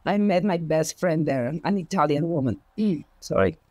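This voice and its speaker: Expressive woman with a Spanish accent. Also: deep voice, normal speed, no room sound. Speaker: podcast guest. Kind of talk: personal storytelling in conversation.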